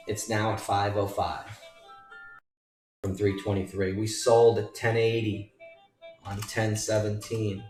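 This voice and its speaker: A man giving an informal stock chart walkthrough as a trading educator, emphatic and repetitive in how he makes his points. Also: fairly low pitch. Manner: emphatic, repetitive, addressing viewers as folks. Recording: roomy echo, distant mic, faint music.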